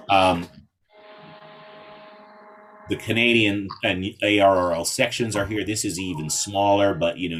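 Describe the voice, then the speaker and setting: A man's voice: sits low, fairly bright tone. A man giving an informal instructional presentation to a club as an invited speaker, explaining things step by step. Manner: explanatory, spells out codes in phonetic alphabet.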